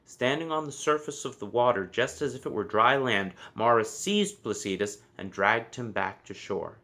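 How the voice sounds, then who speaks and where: A man narrating a children's story from a book, with expressive, measured phrasing and deliberate emphasis. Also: medium pitch. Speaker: storybook reader.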